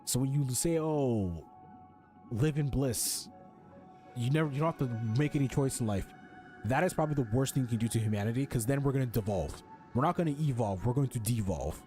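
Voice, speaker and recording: Male game commentator: soft-edged warm timbre, opinionated, sweary, casual, hammering points, light music behind. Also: medium pitch, expressive, fairly quick. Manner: emphatic, repetitive, blunt, sweary. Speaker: game streamer.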